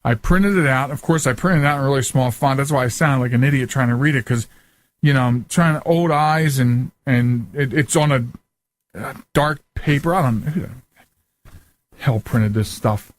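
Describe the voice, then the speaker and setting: A middle-aged man hosting a casual radio talk show, speaking informally with loose, halting asides into a close microphone. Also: mid-range voice. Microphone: close studio microphone.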